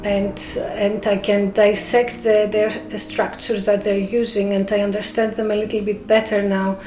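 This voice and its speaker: A woman with a Greek accent, a speakers club member explaining carefully as a radio interview guest, pausing on fillers. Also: medium pitch, flat delivery, echoey room, noisy background.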